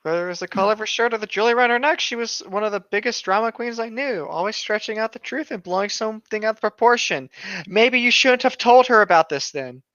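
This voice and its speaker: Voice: mid-range voice, fast, a bit bright, dry recording. A speaker doing a mocking dramatic reading with exasperated, sarcastic ad-lib commentary, on a slightly dull microphone. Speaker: co-reader riffing on a horror story.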